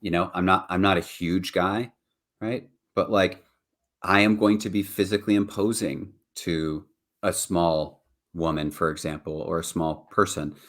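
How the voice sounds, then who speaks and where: Podcast co-host, man, very deep low voice, earnest and reflective, conversational delivery. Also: fairly even intonation, unhurried, a bit bright. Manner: earnest, reflective, frequent "right?" and "like". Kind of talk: casual earnest discussion.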